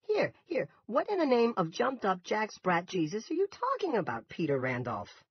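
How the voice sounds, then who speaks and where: An indignant older grocery man put on by a male narrator blusters in folksy phrases. The voice is lifted well up in pitch and loud, with a husky roughness, as in an audiobook. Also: huge pitch swings, moderate pace, dry recording, muffled recording, exaggerated voice. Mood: angry. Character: angry broad-bellied gray-haired older grocery man, indignant.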